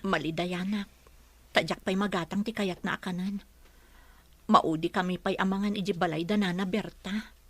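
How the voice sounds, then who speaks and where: A woman's voice: mid-range voice, steady tone, normal speed, pauses often, a bit bright, no echo, lightly acted. A woman playing a struggling, sorrowful young mother in an Ilocano radio drama. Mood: worried.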